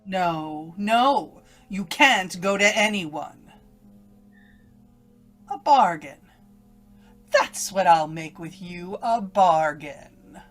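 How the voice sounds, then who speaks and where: Slow, taunting evil inner voice. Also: female voice, fairly low pitch, animated, faint music behind. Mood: bargaining, urgent. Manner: taunting, domineering, insistent. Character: evil woman's voice inside a young woman's head. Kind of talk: old-time radio horror acting.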